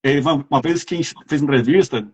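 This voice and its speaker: A man's voice: on the high side, fast, darkish tone, slightly rough, dull audio. A man with a Brazilian accent, chatting casually as an interviewer and telling rambling anecdotes. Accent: Brazilian Portuguese.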